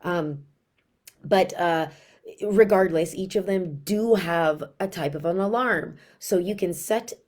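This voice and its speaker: Hoarse, low-voiced woman giving parenting tips. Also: slow, a little mellow. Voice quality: raspy. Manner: chatty and frank, many asides. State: hoarse, losing her voice. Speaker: pediatric sleep consultant. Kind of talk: casual parenting tips to camera.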